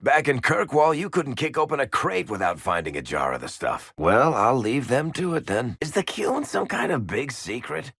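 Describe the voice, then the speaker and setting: An actor playing a wry, roguish middle-aged storyteller in a video game, with a gravelly, husky American voice and a sardonic, teasing delivery. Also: male voice, mid-range voice, huge pitch swings, moderate pace, few pauses, a bit bright, no echo, studio quality, full character voice. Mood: curious, teasing. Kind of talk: video game party banter.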